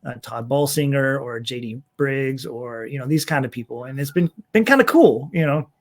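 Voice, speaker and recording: A man's voice: medium pitch, expressive, normal speed. A middle-aged man chatting as a guest on a podcast, in a plain mid-range voice. He rambles through lists with lots of "um" and "you know," and laughs easily. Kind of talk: casual interview conversation.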